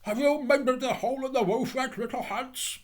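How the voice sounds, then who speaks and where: A man's voice: high-pitched, very animated, unhurried, pitched above the performer's own voice. A man voicing a pompous, self-important miller in a storybook tale, preachy and emphatic as he poses as a generous friend. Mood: cheery, booming. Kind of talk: theatrical storybook character voice.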